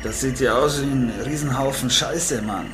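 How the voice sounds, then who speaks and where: A man's voice: normal speed, over loud music, close to an everyday voice. A man playing a gleeful, self-satisfied trophy hunter, an agreeable sidekick talking in bro slang in an amateur audio play. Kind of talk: improvised amateur audio drama dialogue, slangy German with English words. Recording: noisy amateur recording, clicks and vehicle rumble.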